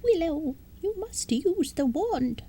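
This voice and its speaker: A woman voicing a tiny, fiery fairy queen stuck in a possum's body, squeaky and commanding, in a storybook reading. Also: sing-song, mellow, heavily acted. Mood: stern. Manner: squeaky, commanding, high-pitched and slow.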